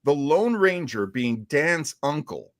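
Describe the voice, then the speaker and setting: A man hosting a show, explaining in casual talk with a halting, thinking-aloud delivery. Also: a little high, big pitch swings, no room sound, clean studio sound. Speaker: show host. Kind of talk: casual explanatory talk about old radio heroes.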